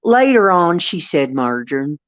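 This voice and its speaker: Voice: medium pitch, big pitch swings, unhurried, dry recording, muffled recording. An older host with a Northeastern Ohio accent, chatting casually and expressively, laughing often and trailing off with fillers. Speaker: channel host. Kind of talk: casual livestream chat.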